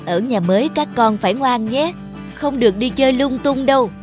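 A woman's voice: a little high, normal speed, music in the background, natural voice. A woman voicing a caring, cautious mother teapot in a cartoon, motherly and instructive. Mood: gently cautioning. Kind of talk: cartoon character acting.

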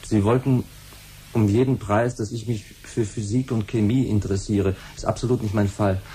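Male actor as young adult son, nonchalant, stubborn, lowish, relaxed dark tone, radio drama. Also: moderate pace, a little hiss or hum, natural voice. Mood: disgruntled. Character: laid-back, musical young adult son, quietly defiant. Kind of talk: 1970s German science-fiction radio play acting.

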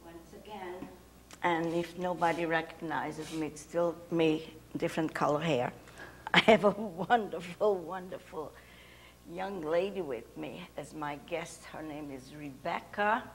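A gravelly older woman with a lowish voice hosts a chatty studio show. Hungarian is her first language, she laughs easily, and a faint hiss sits under her lapel mic. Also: a little slow. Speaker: host. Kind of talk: casual interview chat. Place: TV studio set.

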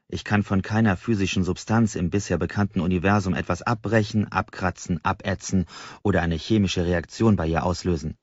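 Deep-voiced male audiobook narrator. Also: no room sound, dull audio. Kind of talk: scripted first-person novel narration.

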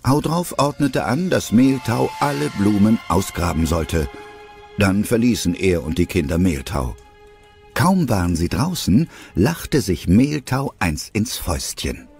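Male narrator, low expressive voice, scripted storybook narration, faint music behind. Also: measured pace, gravelly. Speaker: narrator of an audio drama.

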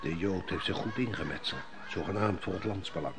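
An actor playing a resentful deposed general who talks bluntly, in an old radio play. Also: male voice, deep voice, brisk, lightly acted.

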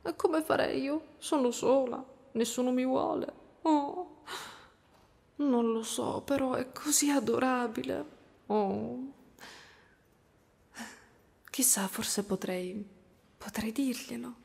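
A woman voicing a gentle, doting spider-woman caretaker in a soft, cooing ASMR roleplay. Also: sits high, slow, vocal fry, pauses often, full character voice. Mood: wistful, hesitant. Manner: soft, cooing, tender, sometimes whispering.

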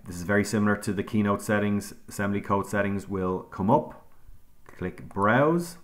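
A low-pitched male instructor narrates a screen-recorded software lesson. He moves through each click calmly, pausing on ums as he explains. It sounds like a desk mic in a quiet room. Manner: calm step-by-step explaining with frequent ums.

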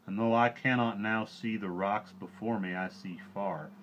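A man's voice: fairly low pitch, steady tone, moderate pace, a bit bright, lo-fi sound, a little hiss or hum, natural voice. A man voicing a wise old male bear teacher, speaking with grave, formal old-fashioned gravity.

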